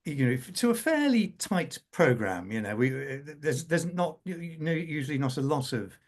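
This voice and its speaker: A man speaking as a scriptwriter in an unscripted panel conversation, halting and often repeating words as he goes. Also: a little high, very animated.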